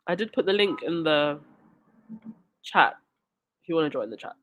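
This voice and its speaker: A woman's voice: low voice, expressive, moderate pace, fairly bright tone. A woman talks on her live stream while fighting a cold, her nose stuffy. She reads comments and thanks supporters, then turns indignant. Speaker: livestream host.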